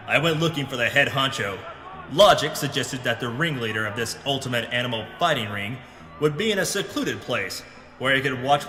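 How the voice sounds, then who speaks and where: Man playing a echoey deadpan pulp hero. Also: mid-range voice. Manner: deadpan, self-serious. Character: hardboiled male pulp action hero detective. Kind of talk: pulp audio drama narration and acting. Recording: echoey hall reverb, music behind.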